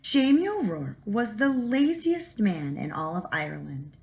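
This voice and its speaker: A woman teacher giving an expressive storybook read-aloud for young children, with dramatic emphasis. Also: medium pitch, measured pace, creaky. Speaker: first grade teacher reading aloud.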